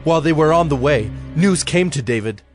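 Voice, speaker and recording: A man narrating scripture from a script in a measured, solemn storytelling manner. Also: fairly high pitch, lively intonation, soft background music.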